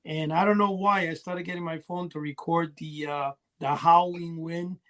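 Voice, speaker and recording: A man's voice: a little high, fairly even intonation, moderate pace, fairly bright tone. A man co-hosting a podcast in casual, joking chat. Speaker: podcast co-host.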